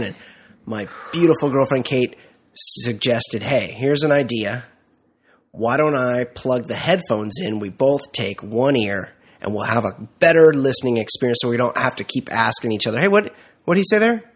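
A podcast co-host, a man with a congested, nasal voice from a cold. His tone has a husky, slightly breathy texture. He teases his friend in dry, exaggeratedly formal language. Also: medium pitch, expressive, moderate pace. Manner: dry mock-formal teasing. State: sick, stuffy and nasal. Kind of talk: casual comedic chat.

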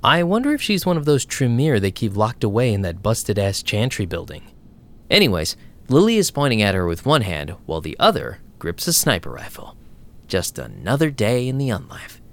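A man's voice: fairly low pitch, huge pitch swings, moderate pace. A man playing a wry, streetwise vampire DJ, narrating an audio drama in a sardonic, casual way.

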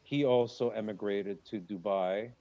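A man working as a stand-up comedian, talking in a loose, rambling interview style that builds into animated rants. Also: on the low side, unhurried, highs cut off. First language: English. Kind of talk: conversational interview. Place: in Egypt.

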